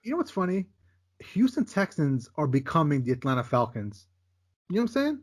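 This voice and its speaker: A man's voice: on the high side, very animated, a little fast. A man co-hosting a sports podcast, giving emphatic, casual takes on football games and repeating "listen, man" as he goes. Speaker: podcast co-host. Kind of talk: casual sports betting chat.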